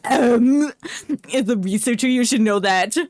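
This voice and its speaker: Casting: playful female streamer, highish voice, casual mocking banter, close dry sound. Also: a little slow, darkish tone, no room sound. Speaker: game streamer. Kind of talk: casual joking livestream commentary.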